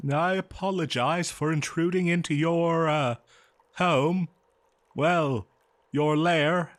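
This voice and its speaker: A man playing a courteous, eager and nervous scholar in a fantasy audio roleplay, speaking politely and haltingly to the listener. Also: a little high. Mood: cautious, apologetic. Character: eager, nervous, courteous male human professor of ancient civilizations.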